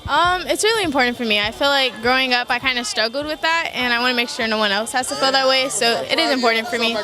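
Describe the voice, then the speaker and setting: A woman's voice: high-pitched, fast. A young woman receiving a scholarship, giving brief, hesitant answers in a red carpet interview. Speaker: scholarship recipient.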